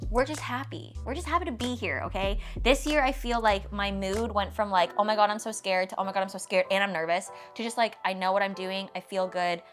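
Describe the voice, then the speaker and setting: A woman's voice: medium pitch, fairly even intonation, brisk, hardly any pauses, a bit bright. A woman of 29 with a Canadian accent, chatting casually and informally to followers with emphatic, self-mocking asides. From Toronto area, Canada. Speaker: fitness content creator and vlogger. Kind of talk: casual rapid-fire Q&A chat to followers. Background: background music.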